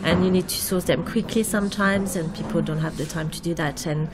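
A woman with a French accent who speaks in a low, level voice with very little melody. She chooses her words carefully and pauses often on um. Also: fairly even intonation, background noise. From Paris, France. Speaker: interior designer, guest. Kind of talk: radio interview.

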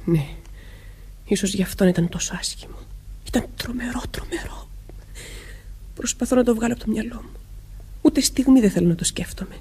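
Husky, anxious young wife. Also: female voice, a little high, long pauses, mellow, whispered. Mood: troubled. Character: Molly, anxious young married Englishwoman in a murder mystery. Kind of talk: Greek radio theatre acting.

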